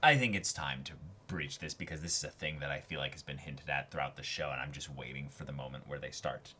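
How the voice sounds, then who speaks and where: A man co-hosting a reaction show, casually thinking out loud and spinning speculative theories. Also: rumbling low, fast, slight background noise. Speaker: co-host of an anime reaction show. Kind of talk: casual discussion.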